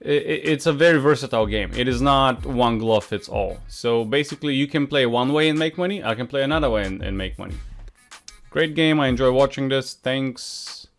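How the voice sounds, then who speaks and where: A man's voice: mid-range voice, brisk. Blunt Bulgarian man with faint music. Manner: frank, many "uh" fillers, blunt asides. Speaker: poker coach. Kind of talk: casual Q&A monologue.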